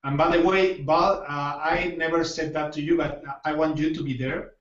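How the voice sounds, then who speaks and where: A man hosting a casual podcast interview in non-native English, speaking haltingly as he searches for words. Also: on the high side, fairly even intonation, fairly bright tone, reverberant, far from the mic, lo-fi sound. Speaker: podcast host.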